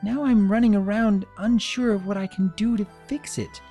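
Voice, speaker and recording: Guy doing anxious girl unicorn pony from a cartoon, high voice, pleading and excitable. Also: moderate pace, soft background music. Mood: upset, self-pitying. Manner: fretful, pleading. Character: distressed young adult female unicorn pony, anxious, excitable. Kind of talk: dramatic cartoon fan-fiction reading.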